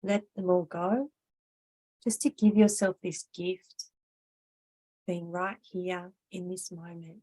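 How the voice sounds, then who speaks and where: A woman with a slightly low voice leads a yoga class as its teacher. She speaks very slowly and gently, giving measured instructions in the style of a guided meditation. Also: fairly even intonation, poor audio. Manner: slow, gentle, measured guiding.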